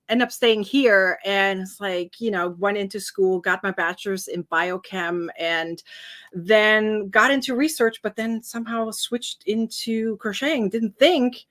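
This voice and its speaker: A woman in her thirties from Germany, with a light German accent, talks casually as an interview guest. Her speech is bubbly and chatty, with lots of fillers and quick restarts. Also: medium pitch, fairly bright tone. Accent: slight German. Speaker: guest, crochet designer and educator.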